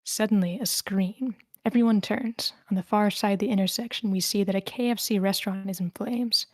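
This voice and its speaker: A woman's voice: mid-range voice, steady tone, fairly quick, no room sound. A woman reading the stage directions of a screenplay as scripted narration at a table read.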